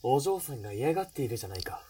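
A man's voice: mid-range voice, big pitch swings, racing, dark tone, distant mic, a little hiss or hum, lightly acted. A man playing a polite, upright young army officer in a radio drama, speaking firmly and formally. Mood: firm, courteous. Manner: firm, composed, formal. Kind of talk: Japanese radio drama acting.

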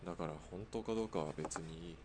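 A man's voice: low voice, fast talker, noisy background, natural voice. A man acting in a radio drama as a stoic outlaw sniper who speaks in a terse, matter-of-fact way.

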